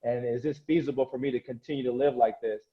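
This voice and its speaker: A man's voice: mid-range voice, fairly even intonation, fast, dull audio. A man who coaches and grew up speaking Korean, talking earnestly and motivationally in a casual interview, often restarting his sentences.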